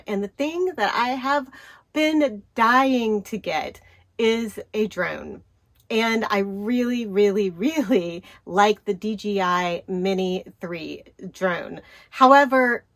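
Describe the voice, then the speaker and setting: Lady vlogger, stuffed up and hoarse, chatty and upbeat, laughs a bit. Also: mid-range voice, a bit bright. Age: middle-aged. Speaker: travel vlogger. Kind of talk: casual shopping wish-list vlog.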